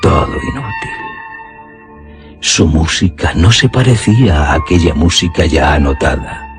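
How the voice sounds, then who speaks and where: A man's voice: low voice, huge pitch swings, measured pace. A man narrating a literary radio drama in a solemn, theatrical, emphatic manner. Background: music.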